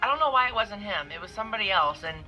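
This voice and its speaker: Bright, gushing young movie reviewer. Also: medium pitch, lively intonation, very fast, lo-fi sound, faint music behind. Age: young adult. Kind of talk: casual, enthusiastic review vlog.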